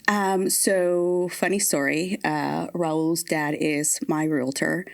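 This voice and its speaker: A woman's voice: low voice, steady tone, takes it slowly, gravelly. A woman from Mexico chatting casually as an interview guest, telling her own story. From born in Mexico, lives in Kansas. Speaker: guest, publicity co-chair.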